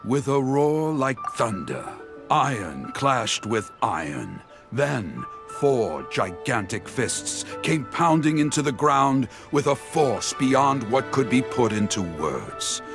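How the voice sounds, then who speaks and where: An actor playing a dramatic street storyteller in a game, telling heroic tales grandly with suspenseful pauses. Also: male voice, medium pitch, very animated, vocal fry, exaggerated voice. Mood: grandiose, suspenseful. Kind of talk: theatrical storyteller narration. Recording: background music under voice.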